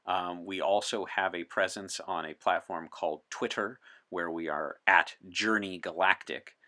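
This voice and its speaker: A man chatting as an interview guest, earnest and deliberate, with playful dry humor. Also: deep voice, steady tone, pushed and bright. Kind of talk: in-character interview chat as a time traveler from 1964.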